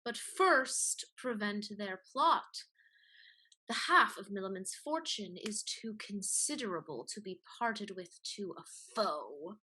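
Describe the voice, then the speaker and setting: An actress playing a cool, calculating mistress in a Restoration high-society comedy, speaking crisply and persuasively. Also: female voice, lively intonation, slow, sharp tone, exaggerated voice, rough recording. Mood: firm, urging. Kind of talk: theatrical Restoration comedy acting.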